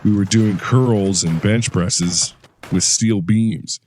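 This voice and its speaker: An actor playing a veteran male super-soldier who calmly recounts his past in an audio drama. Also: takes it slowly, slight background noise. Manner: steady recounting, low-key storytelling.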